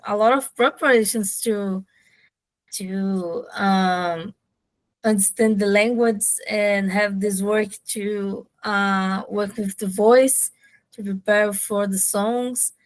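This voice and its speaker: A woman speaking non-native English as an interviewer, haltingly searching for words in a casual conversation. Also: mid-range voice, dry recording. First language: not English.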